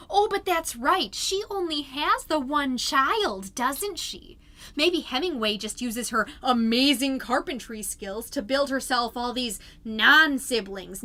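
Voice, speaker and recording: A woman's voice: fairly high pitch, bright, forceful tone. A woman playing a chatty, petty small-town mom as the perky, defensive, rambling host of a scripted comedy podcast. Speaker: fictional podcast host and narrator.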